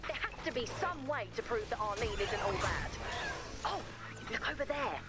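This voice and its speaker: High-voiced eccentric, excitable woman. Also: normal speed, heavy background noise. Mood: excited, curious. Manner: theatrical, expressive, reads aloud dramatically. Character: eccentric, sweet, nosy, excitable female character. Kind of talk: video game character acting.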